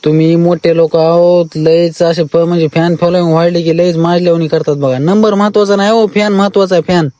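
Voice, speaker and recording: A man's voice: a little high, little pitch movement, fairly quick, hardly any pauses, dark tone, dry recording. A man with a rural Maharashtrian accent on a comic phone call, pleading and insistent while dodging questions. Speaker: caller, self-declared fan.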